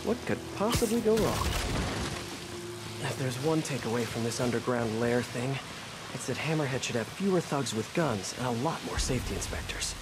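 A man's voice: medium pitch, expressive, normal speed, over loud music, lightly acted. A young man with an American accent playing a wisecracking, eager, nervy superhero in a video game. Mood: wry. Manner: quippy, boyish, joking.

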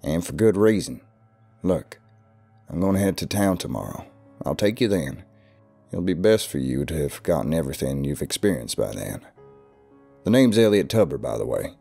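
A man doing a gruff old southern recluse. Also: extremely low pitch, sing-song, normal speed, warm tone, faint music behind, full character voice. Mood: stern. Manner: stern, ominous, scolding.

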